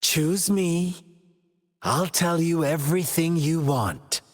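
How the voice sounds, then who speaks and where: A man's voice: on the high side, slow, big-room sound. An actor playing a mocking, theatrical young male villain in a video game cutscene, drawing out his teasing taunts. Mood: sly.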